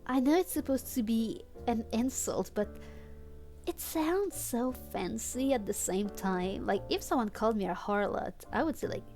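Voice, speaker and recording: A woman's voice: fairly high pitch, lively intonation, moderate pace, a little mellow, soft background music. A woman whose first language is not English, streaming a fan fiction reading with amused, theatrical delivery and casual asides.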